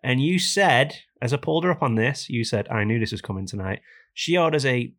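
A man hosting a casual podcast, hoarse and raspy from a cold, ranting jokily about things that annoy him. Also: medium pitch, animated, a little fast, fairly bright tone. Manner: jokey, complaining rants. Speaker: podcast host.

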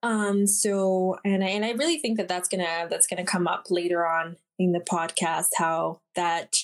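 A young woman from Bonaire, a podcast guest, speaking thoughtfully with pauses. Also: lowish voice, fairly even intonation. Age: young adult. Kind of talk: casual podcast conversation.

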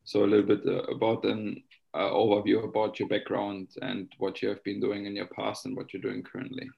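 A man with a Finnish accent co-hosting a podcast interview, halting as he searches for words. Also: fairly low pitch, monotone, vocal fry, highs cut off. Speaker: podcast co-host.

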